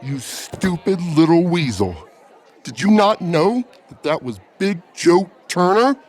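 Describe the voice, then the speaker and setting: A man's voice: high-pitched, very animated, slow pace, heavily acted. A man playing a big, no-nonsense bouncer in an audiobook drama. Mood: exasperated, fearful.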